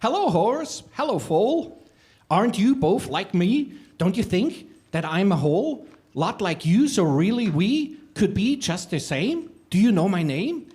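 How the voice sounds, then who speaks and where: A man voicing a small nameless creature that is lost and keeps asking who it is. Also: a little mellow. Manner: pleading, questioning, high and sing-song. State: sobbing at times.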